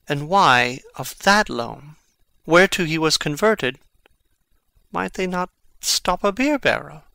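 An actor playing a brooding, impassioned young prince in a dramatic Shakespeare reading, with deliberate theatrical delivery. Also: male voice, fairly high pitch, vocal fry, sharp tone, clean tone, dry recording, exaggerated voice. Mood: musing.

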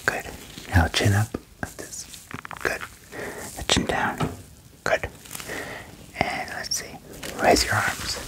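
A young man whispering gently into a close microphone in an ASMR medical roleplay, with short reassuring phrases. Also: takes it slowly, pauses often, poor audio. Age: young adult. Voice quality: whispered, breathy. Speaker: ASMR performer playing an alien doctor. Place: small wood-panelled room indoors. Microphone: close microphone with furry windscreen.

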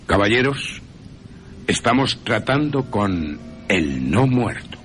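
A man voicing a grave, assured professor who hunts vampires, speaking emphatically and deliberately in a radio play with music underneath. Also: fairly low pitch, sing-song, fairly bright tone, voice pushed up, full character voice. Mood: grave, emphatic.

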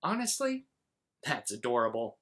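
Very animated man reading a storybook. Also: mid-range voice. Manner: dramatic, exaggerated emphasis with pauses. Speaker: children's storyteller. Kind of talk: animated storybook read-aloud.

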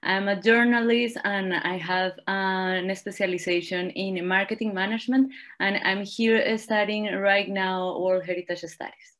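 A woman's voice: medium pitch, fairly even intonation, unhurried, a bit bright. Woman journalist, short introduction over a call. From Colombia. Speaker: journalist and student.

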